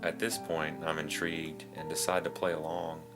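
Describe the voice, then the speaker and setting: A man's voice: rumbling low, level tone, moderate pace. A man reads scripted first-person creepy stories as a narrator. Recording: music behind the voice.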